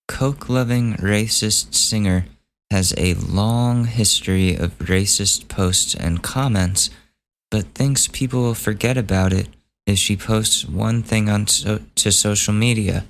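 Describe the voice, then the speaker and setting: A man hosting a solo podcast, losing his voice and hoarse, casually reading out gossip items and swearing as he thinks aloud. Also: fairly low pitch, a little hiss or hum. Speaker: podcast host.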